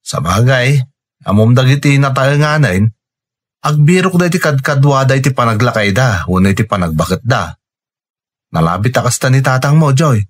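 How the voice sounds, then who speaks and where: A man's voice: mid-range voice, lively intonation, moderate pace, close to an everyday voice. A man playing a frank, concerned young companion in a radio drama, with a Filipino accent. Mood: concerned, probing.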